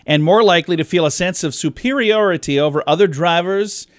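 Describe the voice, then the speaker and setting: Higher-voiced male radio host. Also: fairly quick, no echo, clean studio sound. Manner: friendly, jokey. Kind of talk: radio interview and banter.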